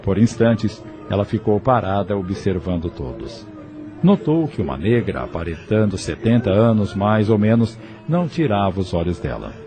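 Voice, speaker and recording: A male narrator reads a scripted audio novel. His voice sits on the low side and unfolds the story in a measured way, with soft music behind him. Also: very animated.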